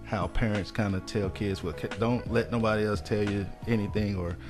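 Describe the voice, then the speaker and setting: A man's voice: fairly low pitch, fairly even intonation, moderate pace, music in the background. A man speaking as a guest on a radio interview. He is a mentor and counselor, and he earnestly urges parents to support their kids.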